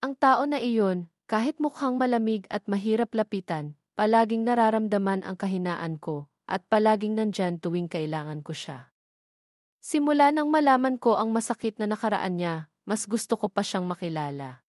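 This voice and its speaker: A woman's voice: medium pitch, lively intonation, normal speed, darkish tone, no echo, studio quality. A woman reading a scripted story as its narrator.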